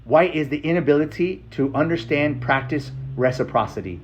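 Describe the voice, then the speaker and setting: A man's voice: fairly high pitch, moderate pace, highs cut off, a little hiss or hum. An American man telling his own life story casually, with a blunt, emphatic manner and short repeated phrases.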